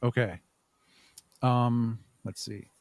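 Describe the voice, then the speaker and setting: A man's voice: medium pitch, fairly even intonation, drawn-out words, gravelly, no echo. Casual man chatting as a podcast guest. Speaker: podcast guest, audio drama creator and podcaster. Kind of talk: casual interview chat.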